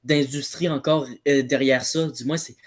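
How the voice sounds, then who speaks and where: A man's voice: mid-range voice, fairly even intonation, normal speed. A man talks casually in a discussion, tentatively and with many padding phrases. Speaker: audio fiction debate participant.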